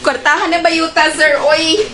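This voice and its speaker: Spirited, high-voiced young Cebuano woman. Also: steady tone, unhurried, background noise. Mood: teasing. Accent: Filipino, Cebuano. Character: Tess, spirited young female TV station worker. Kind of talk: Filipino radio action drama acting.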